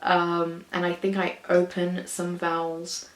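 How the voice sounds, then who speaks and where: A woman's voice: lowish voice, completely flat delivery, slow pace. A woman with an Australian accent, talking to camera in a rambling, hesitant, self-conscious way, full of fillers and second thoughts. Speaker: vlogger. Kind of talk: reflective personal monologue. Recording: echoey room.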